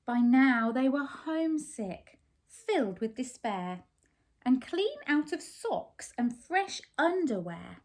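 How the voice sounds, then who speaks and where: A woman's voice: sits high, very animated, slow. A woman reading a picture book aloud expressively, putting simple questions and explanations to young children. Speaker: children's story reader.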